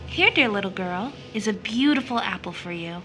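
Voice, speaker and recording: A woman's voice: medium pitch, lively intonation, normal speed, bright, forceful tone, soft background music. An actress playing a proud, bitter young woman who is kind to children, in a scripted audio drama. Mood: gentle, concerned.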